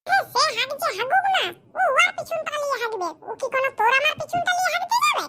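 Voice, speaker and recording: A performer voicing a squeaky, indignant young man in a comedy cartoon, bickering. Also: animated, fast, sharp tone, slight background noise, exaggerated voice. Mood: scheming, bickering. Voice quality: squeaky, artificially high voice. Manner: scolding, bickering, rhetorical questions. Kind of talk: exaggerated comic cartoon dialogue in rural dialect.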